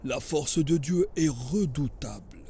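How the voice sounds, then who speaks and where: An actor playing a pious, solemn sheikh in a French cartoon, preaching in a grave, measured, husky voice. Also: male voice, on the high side, mellow, slight background noise. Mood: grave warning. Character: pious, solemn sheikh teaching about the prophet.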